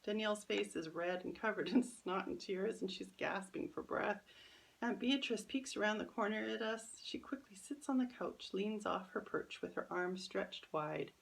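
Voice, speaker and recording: A woman reading her own children's story as expressive, careful, dramatic narration. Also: medium pitch, moderate pace, gravelly, rough recording.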